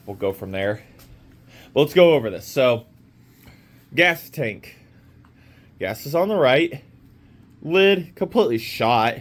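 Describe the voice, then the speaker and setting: A man with a sore throat, his voice a little off, rambling casually in a home garage as he walks through an old motorcycle. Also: mid-range voice. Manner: rambling, with many fillers and restarts. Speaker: motorcycle restoration vlogger. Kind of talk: casual walkaround vlog.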